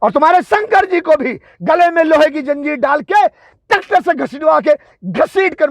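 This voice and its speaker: Male, extremely high voice, gravelly edge, dry close sound, angry tirade, scolding, taunting, repetitive. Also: no echo. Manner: confrontational, scolding, taunting with rhetorical questions; repetitive, emphatic delivery. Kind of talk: angry political and religious tirade.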